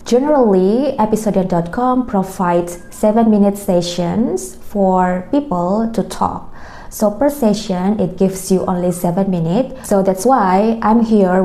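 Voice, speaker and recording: Slow-speaking Indonesian woman tutor, echoey room. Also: mid-range voice, dark tone. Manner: slow, careful, explaining step by step. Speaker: language tutor. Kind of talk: talking-head lesson with tips.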